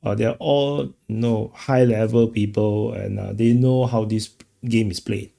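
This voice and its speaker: Man, commentator, relaxed dark tone, vocal fry, casual, halting, rambling, repeats himself. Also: fairly low pitch. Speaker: commentator, show host. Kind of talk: casual off-the-cuff political commentary.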